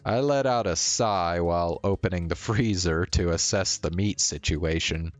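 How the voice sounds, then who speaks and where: A man reading a visual novel aloud as a streamer, in measured narration with the odd stumble. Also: sits low, no echo. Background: faint music.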